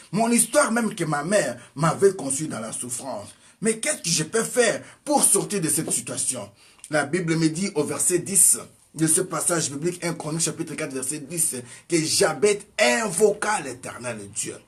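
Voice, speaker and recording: High-voiced preacher exhorting. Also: male voice. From Congo. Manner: exhorting, rhetorical questions, swings between loud and soft. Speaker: evangelist preacher. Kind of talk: sermon.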